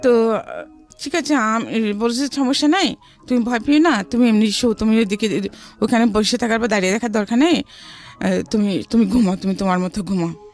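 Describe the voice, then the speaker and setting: A woman's voice: a little high, normal speed, faint music behind. Bangladeshi woman recounting her life story. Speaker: interview guest telling own life story. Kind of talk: personal storytelling in an interview.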